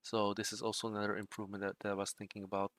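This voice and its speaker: Bright, crisp-voiced young man chatting. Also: fairly low pitch, rapid-fire, dry recording. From Czech Republic. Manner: relaxed, rambling, frequent fillers like "like" and "right". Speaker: livestream host and trading bot developer. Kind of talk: casual livestream chat while coding.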